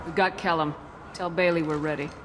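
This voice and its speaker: A woman's voice: low voice, fairly even intonation, brisk, background noise, natural voice. An actress playing a resolute woman military commander in a video game, with a direct, terse, commanding manner. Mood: businesslike. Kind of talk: video game cutscene acting.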